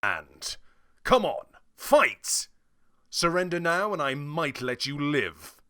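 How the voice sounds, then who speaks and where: A stern, loyal fantasy soldier acted by a man for an amateur game audition. His delivery is bright and forceful with swooping, animated pitch. The recording is dry and close, with plosive pops. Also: slow, no room sound, heavily acted. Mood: threatening, defiant. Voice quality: breathy strain at phrase ends, popping P sounds.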